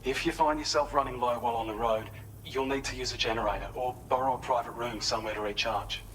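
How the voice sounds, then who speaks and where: A man acts an earnest, helpful facility researcher in a video game. His voice is bright and slightly low, and he explains things in a polite, measured way over faint ambient noise. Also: fairly even intonation, close to an everyday voice, poor audio. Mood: earnest, businesslike. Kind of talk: scripted game briefing.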